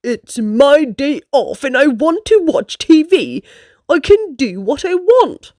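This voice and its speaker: A woman's voice: high-pitched, sing-song, slow, a little mellow, dry recording, studio quality, exaggerated voice. A woman voicing a grumpy, stubborn female dragon in a children's storybook, blunt and defiant. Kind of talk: children's picture book read-aloud.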